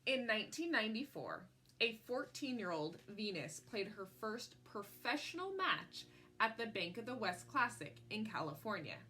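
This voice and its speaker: A woman reading a picture book aloud in an expressive storytelling style, phrasing in a measured way and leaning on key words. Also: medium pitch, a little hiss or hum.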